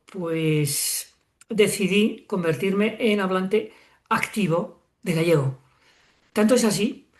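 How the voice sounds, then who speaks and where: Reflective Spanish man in his sixties. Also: sits high. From Spain. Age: 60s. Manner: reflective, many fillers and self-corrections. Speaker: guest author. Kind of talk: relaxed interview conversation.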